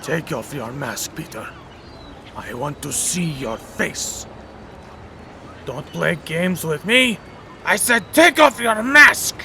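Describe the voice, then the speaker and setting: A man acting as a menacing, unhinged comic villain with a bright, sharp edge and heavy vocal fry. He taunts slyly, with a mock-wistful air over deliberate threats. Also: a little high, expressive, slight background noise, exaggerated voice. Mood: threatening. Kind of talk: comic book dub acting.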